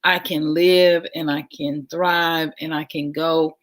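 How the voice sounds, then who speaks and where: A woman hosting a casual livestream, talking warmly and enthusiastically, repeating her phrases and making chatty asides. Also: deep voice, little pitch movement, takes it slowly. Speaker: livestream host.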